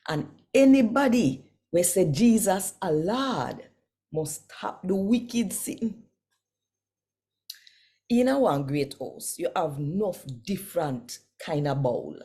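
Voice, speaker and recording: A Jamaican woman giving an expressive, deliberate devotional scripture reading with measured, emphatic delivery, as a host would. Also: medium pitch, husky.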